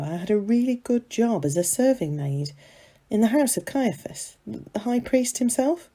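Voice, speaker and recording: A woman reading a dramatic monologue as a gossipy serving maid of ancient times, confiding and conversational, with dramatic pauses. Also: lowish voice, dark tone, husky. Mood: reflective.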